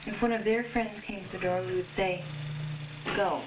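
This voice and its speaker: A woman's voice: mid-range voice, steady tone, moderate pace. A woman, a mother in family conversation, recorded for a documentary-style film. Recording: muffled, noisy old educational film soundtrack.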